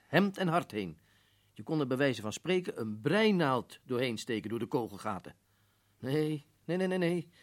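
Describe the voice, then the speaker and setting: A man's voice: on the high side, close to an everyday voice. A man playing an irritable, stubborn colonel who runs a prison, in a Dutch radio drama, speaking emphatically and dismissively. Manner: emphatic, dismissive, repeats words insistently. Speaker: actor in a radio play.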